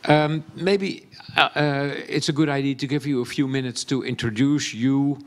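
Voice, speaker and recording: A man's voice: medium pitch, fairly even intonation, moderate pace. Dutch man hosting onstage talk. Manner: friendly, prompting questions with fillers. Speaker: event host and interviewer. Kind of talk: live stage interview. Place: event hall on stage.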